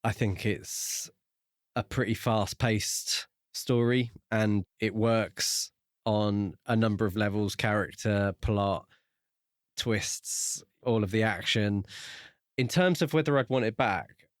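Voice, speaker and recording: A man co-hosting a podcast in casual book discussion, talking in a measured way with pauses mid-sentence. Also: on the low side, no echo, studio quality. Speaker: podcast co-host.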